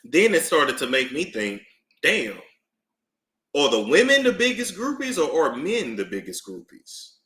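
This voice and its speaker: A man giving casual live-stream commentary, emphatic and animated, repeating phrases for effect. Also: a little high, measured pace. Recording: roomy echo.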